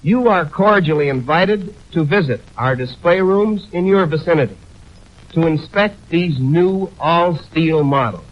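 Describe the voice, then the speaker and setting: A man's voice: fairly high pitch, measured pace. A man giving a formal, scripted company sales address on 1920s radio. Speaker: company manager giving a sponsor message. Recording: muffled 1929 radio aircheck on film.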